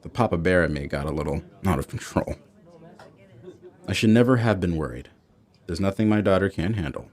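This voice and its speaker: A man's voice: low-pitched, animated, brisk, pauses often, darkish tone. A man playing a protective, supportive middle-aged father in naturalistic audio drama dialogue. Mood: apologetic.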